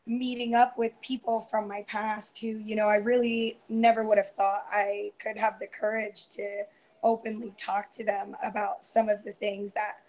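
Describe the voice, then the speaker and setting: A woman in her twenties, a guest on a video call, talking softly and hesitantly with many ums, her voice tiring and going hoarse. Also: medium pitch, level tone, moderate pace, low-quality recording. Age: 20s. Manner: soft-spoken, hesitant, many ums and restarts. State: losing her voice. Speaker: guest on a live round-table stream. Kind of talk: casual personal sharing. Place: indoors, home room. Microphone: earphone headset on a video call.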